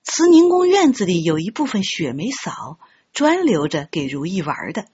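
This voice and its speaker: A woman reading a novel aloud as an audiobook narrator, in an expressive storytelling style. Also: lowish voice, moderate pace, husky. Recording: dull, muffled lo-fi audio.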